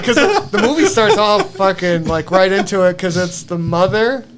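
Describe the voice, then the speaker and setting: A man with a high-pitched voice chats casually as a podcast co-host. His talk is slangy and profane, and there is background noise in the recording. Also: animated, normal speed, fairly bright tone. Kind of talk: casual movie chat.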